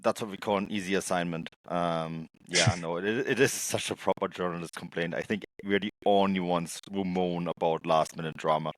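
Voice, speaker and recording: Deep-voiced laughing podcast host, dry sound. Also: male voice, fairly even intonation, moderate pace, few pauses, no echo, poor audio. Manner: laughs often, chatty with filler words. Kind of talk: casual football chat.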